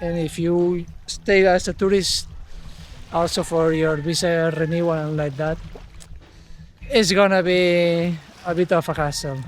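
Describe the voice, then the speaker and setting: A man with a notably high voice talks in halting second-language English, often restarting his sentences as he vlogs on foot through a small town. Light engine and traffic noise runs behind him outdoors. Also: fairly even intonation. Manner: halting, with many restarts and self-corrections. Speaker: travel vlogger. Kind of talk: casual walk-and-talk vlog. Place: outdoors in a small town's streets and market.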